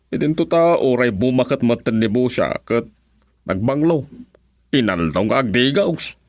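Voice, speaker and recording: An actor with an Ilocano accent playing a flustered husband who stammers out whiny excuses in a comedic radio drama. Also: male voice, mid-range voice, lively intonation, unhurried, natural voice. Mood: pleading excuses. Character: flustered, stammering husband defending himself before the chairman.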